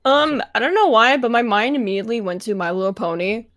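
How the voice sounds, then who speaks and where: A woman's voice: on the high side, quick pace, a bit bright, dry recording, clean studio sound. A woman on a panel of voice actors chatting informally and with enthusiasm. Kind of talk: casual panel chat.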